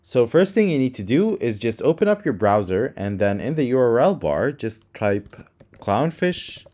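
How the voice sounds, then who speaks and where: A man's voice: medium pitch, sing-song, normal speed, warm tone. A man hosting a how-to tutorial, narrating the steps in a casual, instructional style. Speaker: tutorial host.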